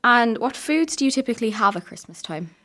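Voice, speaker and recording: Young girl hosting, talks quick, reads out her interview questions. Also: fairly high pitch, animated, bright, forceful tone. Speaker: show host.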